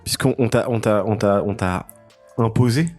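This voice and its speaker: A man's voice: fairly low pitch, moderate pace, over music. A man co-hosting a podcast, bantering casually and asking the guest questions. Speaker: podcast co-host.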